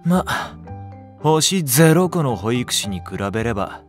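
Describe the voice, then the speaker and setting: An actor playing a gruff, cynical young male nursery teacher, curt and sardonic, in an anime drama. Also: mid-range voice, very animated, a little slow, faint music behind.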